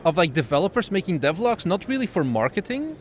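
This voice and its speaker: A man's voice: sits high, very animated, fast. Young man asking rambling questions. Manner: heavy use of "like", rambling questions. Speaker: podcast host. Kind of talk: casual interview.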